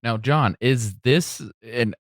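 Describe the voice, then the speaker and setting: A man's voice: mid-range voice, slow pace, no echo, clean studio sound. A man hosting a casual podcast discussion, speaking conversationally. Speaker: podcast host.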